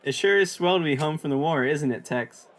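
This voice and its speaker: A man's voice: fairly high pitch, fairly quick, fairly bright tone, a faint crowd, close to an everyday voice. A man playing an eager young American soldier home from war, hearty, in 1940s radio style. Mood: hearty, proud.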